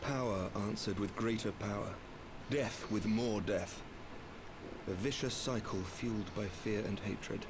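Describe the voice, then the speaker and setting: Guy voicing somber world-weary fantasy game fighter, lowish voice, formal, noisy background. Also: measured pace, natural voice. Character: grave, courteous, world-weary male fighter. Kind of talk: scripted fantasy game voice acting.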